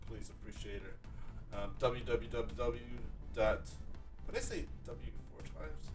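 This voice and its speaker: A man's voice: mid-range voice, far from the mic. A man hosting a podcast in a casual, rambling conversational way, often repeating his phrases. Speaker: podcast host. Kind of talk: casual conversational interview talk.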